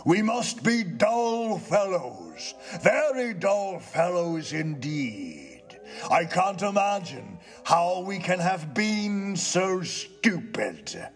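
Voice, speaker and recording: A man voicing a gloomy, pompous old-world ghost in a storybook, declaiming in a slow, solemn, drawn-out way. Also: sits high, big pitch swings, soft background music, voice pushed up, full character voice. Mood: sheepish. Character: gloomy, long-suffering male ghost, pompous yet easily persuaded. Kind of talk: theatrical storybook character reading.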